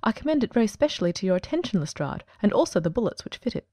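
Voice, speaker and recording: A woman performs a self-assured detective genius in an audiobook. He talks fast and precisely in a mellow tone, formal and commanding. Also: medium pitch, big pitch swings, no room sound, clean studio sound. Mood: assured, dry. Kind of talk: dramatic audiobook reading.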